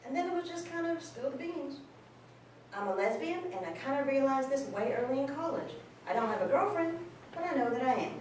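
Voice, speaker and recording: A woman playing a candid, warm-hearted friend in a casual monologue at a staged reading. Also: high voice, lively intonation, brisk. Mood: fond, candid. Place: live staged reading in a large room. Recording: very echoey hall, distant mic, faint noise.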